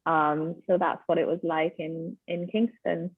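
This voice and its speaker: A woman's voice: low voice, little pitch movement, a little slow, husky, no room sound. A woman from Jamaica, telling her story as a panelist, thoughtful with some ums. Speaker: panelist, coffee company founder. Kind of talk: casual personal story. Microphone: video call. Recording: tinny phone-like call audio.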